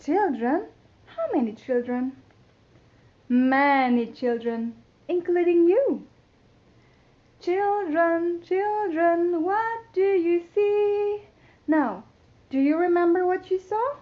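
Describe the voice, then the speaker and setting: Very high-voiced woman reading to toddlers, unhurried. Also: phone-quality sound. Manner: playful, expressive, addressing young children; imitates animal sounds. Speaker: children's storytime host. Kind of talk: sing-song picture book reading for toddlers.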